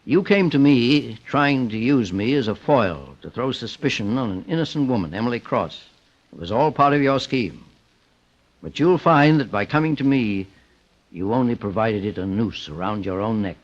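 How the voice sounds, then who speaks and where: Actor playing shrewd radio detective, sweeping intonation. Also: male voice, mid-range voice, animated, close to an everyday voice. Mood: stern, condemning. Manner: deliberate, formal, accusatory. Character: shrewd, calm, relentless male private detective. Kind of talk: old-time radio drama acting. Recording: muffled vintage radio recording.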